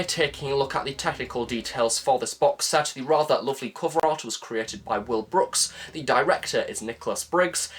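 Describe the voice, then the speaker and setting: Male reviewer, medium pitch, enthusiastic and chatty, scripted delivery with emphatic stresses and self-mocking asides. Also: fairly bright tone. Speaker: review channel host.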